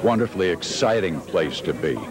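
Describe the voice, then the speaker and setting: A man's voice: low voice, animated, a little fast. A man in his seventies, a retired talk show host, giving reflective interview answers. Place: busy restaurant. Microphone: handheld interview microphone. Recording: clear crowd noise behind.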